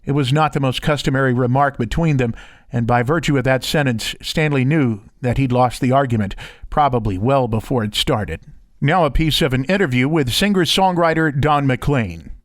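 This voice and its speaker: A man's voice: mid-range voice, moderate pace, dry recording. A man doing scripted voiceover narration and demo reading, recorded close on a studio condenser microphone. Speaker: voiceover artist and interviewer.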